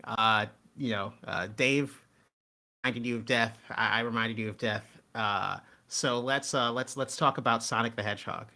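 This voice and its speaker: A man's voice: medium pitch, fairly even intonation, moderate pace, gravelly, sharp tone. A man chatting casually and earnestly about his own creative work, in a wordy way. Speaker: show creator and guest. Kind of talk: casual chat.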